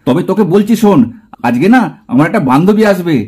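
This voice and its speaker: Man voicing a fast, high-pitched cheeky young man doll. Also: animated, dark tone, husky. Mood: upbeat, defensive. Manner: teasing, mock-polite. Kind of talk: puppet doll drama voice acting.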